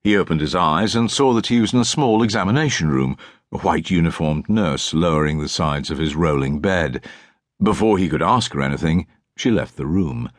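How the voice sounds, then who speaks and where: A man's voice: low-pitched, animated, moderate pace, dry recording, studio quality. A man reading a crime novel as an audiobook narrator, in scripted literary prose with precise diction.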